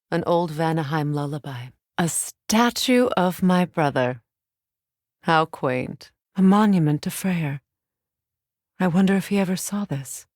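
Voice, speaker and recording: Regal goddess warrior, female, middle-aged, solemn, formal measured phrasing, fairly low pitch, dry studio sound, dramatic game acting. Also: no room sound. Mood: subdued, then wry, skeptical.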